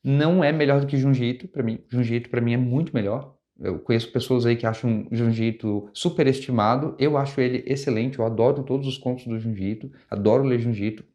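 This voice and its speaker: A man's voice: medium pitch, fast talker, hardly any pauses, darkish tone. A young adult man with a Brazilian Portuguese accent, talking casually as host of a comics review channel, often restarting and rephrasing as he goes. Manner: conversational, with frequent restarts and rephrasing.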